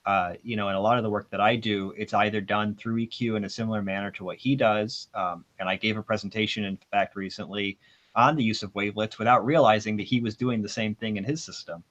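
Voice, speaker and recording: A man's voice: on the low side, steady tone, quick pace, fairly bright tone. A man explaining technical matters informally as an audio expert on a panel, giving his opinions in a discussion. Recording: dull, band-limited sound.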